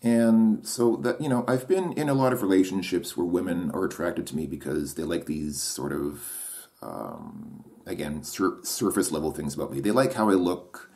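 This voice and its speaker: Deep-voiced man musing hesitantly. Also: expressive, moderate pace, vocal fry, few pauses, mellow. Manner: hesitant, restarts and repeats himself, self-questioning. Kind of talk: reflective solo monologue.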